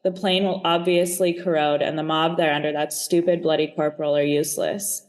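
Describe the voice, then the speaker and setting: A man's voice: on the high side, flat delivery, brisk, lightly acted. A man voicing a grumbling Second World War serviceman reading out his diary in clipped phrases. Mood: exasperated.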